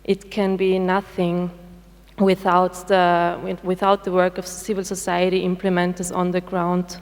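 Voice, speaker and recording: A woman speaking for a UN office on a conference panel, in a measured, formal manner. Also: lowish voice, monotone. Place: conference hall. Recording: reverberant conference hall.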